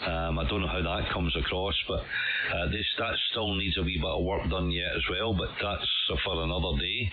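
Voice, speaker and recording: A man's voice: sits low, normal speed, narrow, tinny audio. A man, an amateur radio operator, chatting amiably and casually over a single-sideband radio link. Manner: amiable, chatty, uses "mate". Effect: shortwave single-sideband radio transmission. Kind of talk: casual radio contact chat. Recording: band-limited ham radio signal, faint hiss.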